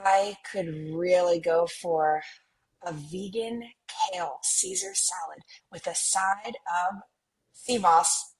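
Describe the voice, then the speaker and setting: A woman's voice: on the low side, expressive, slow, gravelly, exaggerated voice, rough recording. A woman playing a well-meaning, naive millennial American twin in a comedic script read. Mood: oblivious.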